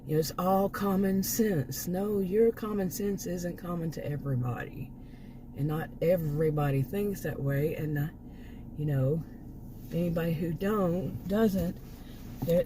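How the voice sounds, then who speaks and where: Hoarse woman rambling to camera. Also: mid-range voice, background noise. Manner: rambling, frequent restarts and asides. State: hoarse, losing her voice. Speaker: vlogger. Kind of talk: casual unscripted monologue.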